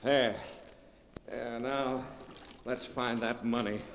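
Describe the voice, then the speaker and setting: An actor playing a greedy, ruthless, impatient murderer in 1940s radio style, brusque and melodramatic. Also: male voice, mid-range voice, fairly even intonation, takes it slowly, big-room sound. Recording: noisy 1940s radio recording.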